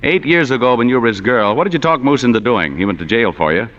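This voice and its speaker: An actor playing an unflappable, sardonic, slangy hard-boiled private detective in 1940s radio acting style. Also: male voice, mid-range voice, expressive, fast, fairly bright tone, lightly acted. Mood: sardonic accusation. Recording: dull vintage radio recording, long hall reverb.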